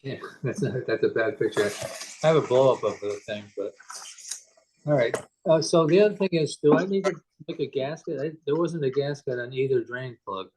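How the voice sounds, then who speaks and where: A man's voice: medium pitch, brisk, darkish tone, slight background noise, rough recording. Guy on a group tech call, casual, kinda unsure, working through his question as he talks. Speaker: club member asking repair questions.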